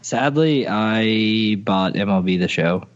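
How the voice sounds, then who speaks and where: A man's voice: fairly low pitch, expressive, slow pace, dull audio. A man co-hosting a gaming podcast, rambling and poking fun at himself as he tells his stories. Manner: rambling, self-mocking, full of restarts. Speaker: podcast co-host. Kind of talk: casual gaming podcast chat.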